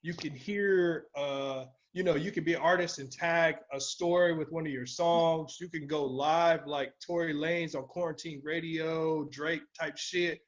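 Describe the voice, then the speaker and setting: A man with a fairly high voice chats as a podcast co-host, recorded slightly dull and muffled. His talk is blunt and slangy, full of casual swearing. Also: steady tone, measured pace, hardly any pauses. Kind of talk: casual chat, podcast discussion.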